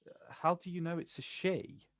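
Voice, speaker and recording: An actor playing a guarded but kind poet in a stage play, questioning and prone to stammer when unsure. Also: male voice, a little high, normal speed, fairly bright tone, dry recording, studio quality. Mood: wry, curious. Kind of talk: stage play acting, quiet two-hander.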